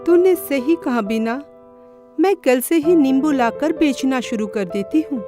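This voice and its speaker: Cartoon role: struggling widowed mother female narrator acting, plaintive, high, slow, with music behind. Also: expressive. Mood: resolved. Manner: plaintive, caring. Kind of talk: Hindi moral-story cartoon voice acting.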